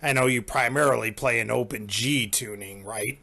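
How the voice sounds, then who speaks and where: Bright-voiced male interviewer. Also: mid-range voice, normal speed, gravelly. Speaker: interviewer, host. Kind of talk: interview questions.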